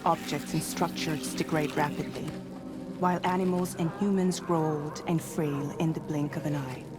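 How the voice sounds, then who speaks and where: An actress plays a composed woman leader in a cinematic game, her voice low. She speaks in a measured, grave and earnest way, pausing with purpose, over prominent background music. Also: fairly even intonation, lightly acted. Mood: grave, explanatory. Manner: measured, deliberate, grave, with pauses. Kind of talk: cinematic video game cutscene dialogue.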